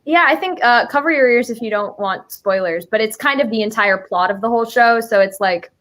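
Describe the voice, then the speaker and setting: A woman's voice: fairly high pitch, fairly quick, a bit bright. A woman in casual chat as a guest, speaking in a playful, jokey, informal way. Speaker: guest promoting a musical album.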